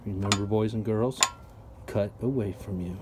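A man casually explaining a tool setup while working with his hands, chatty and relaxed with plenty of ums. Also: fairly low pitch, moderate pace, heavy background noise. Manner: relaxed, chatty, lots of ums and you-knows. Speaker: product reviewer. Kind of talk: casual how-to narration.

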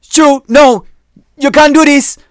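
A man's voice: medium pitch, steady tone, fairly quick, a little mellow, dry recording, clean studio sound, natural voice. A man voicing an arrogant, violent man who blusters and pleads. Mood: begging. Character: arrogant, violent man, desperate when cornered. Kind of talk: audiobook character voice.

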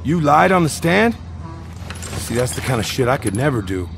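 An actor playing a cocky, self-pitying convict in a video game, talking in brash, needling slang. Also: male voice, medium pitch, very animated, moderate pace, creaky, background noise. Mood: needling, casual. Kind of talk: video game dialogue acting.

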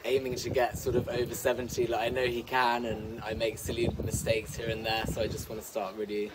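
A young man, a dressage rider, answering interview questions outdoors in an easygoing way with many ums and quick yeahs. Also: mid-range voice, completely flat delivery, recorded from a distance, noisy background. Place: outdoors in front of a hedge.

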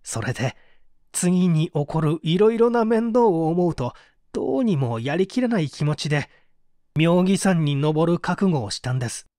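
An actor playing a weary, fatalistic murder suspect giving a dramatic confession in a mystery audio drama. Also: male voice, on the high side, lively intonation, moderate pace, mellow, no echo, clean studio sound, natural voice. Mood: weary, bitter. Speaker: voice actor reading a story.

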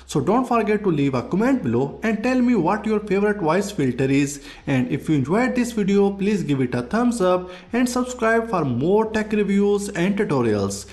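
A man's voice: high voice, very animated, moderate pace, few pauses, a little mellow. A man hosting a tech review, reading scripted tutorial narration.

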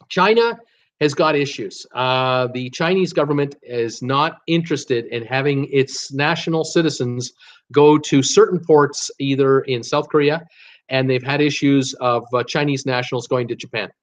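A man's voice: mid-range voice, a little slow. A man in his sixties hosting a live stream from his home office. He reads viewer comments and chats casually, with lots of "uh" fillers. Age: 60s. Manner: rambling, chatty, frequent "uh" fillers, animated hand gestures. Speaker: cruise travel YouTuber hosting a livestream. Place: home office with shelves of cruise mugs, indoors.

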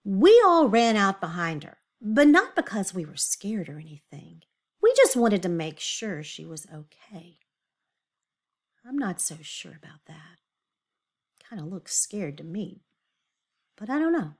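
Female storybook reader for young children: animated, slow-paced, expressive, with confiding asides. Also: mid-range voice. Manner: animated, with emphatic drawn-out words and confiding asides. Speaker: children's read-aloud teacher. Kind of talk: expressive picture-book read-aloud with questions for young children.

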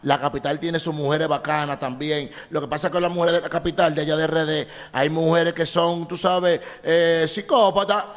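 High-voiced Dominican man in an echoey room. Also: moderate pace, few pauses. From Dominican Republic. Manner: animated, slangy, repeats phrases for emphasis. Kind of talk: casual, bantering podcast chat. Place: indoor podcast set. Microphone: stand microphone at a table. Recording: echoey room with long reverb.

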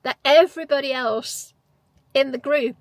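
A woman's voice: high voice, moderate pace, no room sound. Chatty Geordie woman in her fifties. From North East England. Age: 50s. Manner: chatty, reflective, with frequent restarts. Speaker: vlogger. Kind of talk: casual personal storytelling. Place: parked car. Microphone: lapel mic.

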